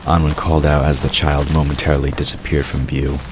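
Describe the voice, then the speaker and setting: A man's voice: rumbling low, level tone, a little fast. A man reading scripted third-person narration for a fantasy audio drama. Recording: clear background noise of night crickets.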